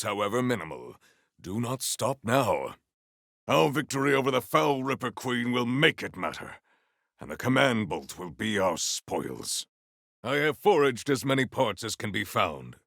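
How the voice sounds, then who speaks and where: Game warrior: grandly earnest and formal, slow stately delivery, gravelly edge. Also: male voice, lowish voice, a bit bright, dry recording, studio quality, full character voice. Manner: formal, stately diction with dramatic weight. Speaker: video game voice actor. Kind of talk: scripted game character lines.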